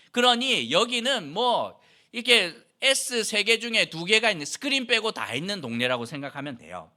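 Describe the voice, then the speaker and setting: A man's voice: sits high, pushed and bright. A middle-aged male pastor from Andong preaching a sermon in an explanatory, conversational way, posing rhetorical questions to the congregation. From Andong, South Korea.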